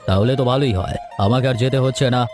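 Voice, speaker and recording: Man as mid-pitched village moneylender, music behind. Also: racing, natural voice. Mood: businesslike. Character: village moneylender husband, reasonable, well-meaning employer. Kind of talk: Bengali cartoon story dubbing.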